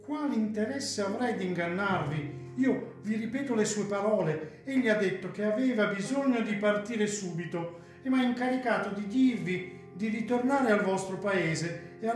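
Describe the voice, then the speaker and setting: Man playing a high-pitched, teasing hotel waiter. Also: darkish tone. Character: chatty, teasing hotel waiter, male adult. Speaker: actor in a radio drama. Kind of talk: radio drama acting. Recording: echoey room, faint guitar music behind.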